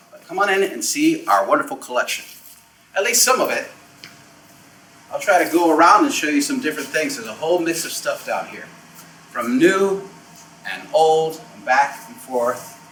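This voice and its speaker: A man's voice: a little high, sharp tone. A man hosting an informal puppeteer's show-and-tell tour, talking off the cuff with theatrical showman phrasing and emphatic repeats. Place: indoors, backstage and storage rooms of a puppet theater. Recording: echoey, distant mic with background noise.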